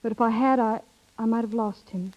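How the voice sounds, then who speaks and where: A muffled old radio recording of a woman playing a young wife with a very high voice. She is earnest, proud and anxious, delivering halting, emotional lines in 1930s drama style. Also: steady tone, a little mellow, lightly acted. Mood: troubled. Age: young adult.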